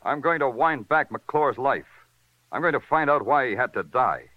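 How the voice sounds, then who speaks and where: Actor as hard-boiled American detective, animated delivery. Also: male voice, medium pitch, normal speed, loud music behind the voice, lightly acted. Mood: matter-of-fact. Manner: terse commands, moody poetic narration. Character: hard-boiled, brooding male police detective. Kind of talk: 1950s radio noir narration and acting. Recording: muffled vintage radio recording.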